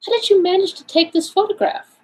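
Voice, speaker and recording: A woman voicing an earnest, excitable gentleman, a detective's eager and questioning companion, in an audiobook. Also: extremely high pitch, a little fast, voice pushed up. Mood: curious. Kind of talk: audiobook character dialogue.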